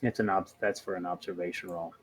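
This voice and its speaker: A man's voice: low-pitched, steady tone, fast talker, slight background noise. A man playing a tabletop role-playing game, chatting casually and tentatively, circling back over his words.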